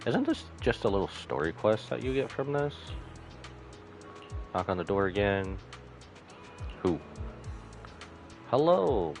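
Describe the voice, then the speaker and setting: Male streamer, very low pitch, animated inflection, casual game commentary, reads aloud, game music behind. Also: takes it slowly, long pauses. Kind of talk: live game commentary, reading dialogue and menus aloud.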